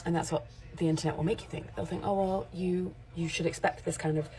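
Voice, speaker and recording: A woman in her forties talks straight to camera in a casual, candid vlog. Her voice sits a little low and sounds mellow and relaxed. She rambles and corrects herself mid-sentence as she makes her point. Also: fast, poor audio. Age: 40s. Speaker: makeup artist and creator.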